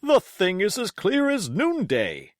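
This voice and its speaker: A man voicing a blustering, excitable country squire in an audiobook, emphatic and commanding. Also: sits high, slow, dry recording, clean studio sound, pitched above the performer's own voice, heavily acted. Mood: indignant, certain. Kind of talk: audiobook character voice.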